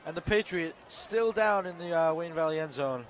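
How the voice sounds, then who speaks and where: A young man giving relaxed, chatty color commentary outdoors at a football game. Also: on the high side, a little hiss or hum. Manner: relaxed, chatty, with filler pauses. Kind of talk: live sports commentary.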